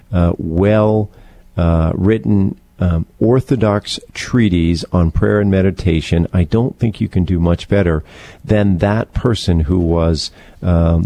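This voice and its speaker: A man co-hosting a conversational book discussion, explaining earnestly in a halting, deliberate way. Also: deep voice, creaky, dark tone, no echo. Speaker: co-host presenting book recommendations.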